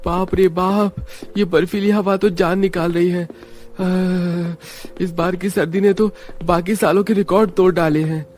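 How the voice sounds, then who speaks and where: A man's voice: high voice, level tone, a little slow, a little mellow, a little hiss or hum. A man playing a poor, anxious young labourer and dutiful husband in a cartoon story. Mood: shivering complaint.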